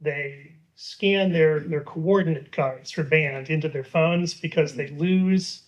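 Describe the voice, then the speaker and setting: A man in his fifties whose voice sits a little high for a man. He asks his question at a library town hall in a loose, rambling way. He stops and restarts often, with plenty of fillers. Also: takes it slowly. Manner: casual, rambling, hesitant with many fillers. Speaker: constituent asking a question. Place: public library meeting room.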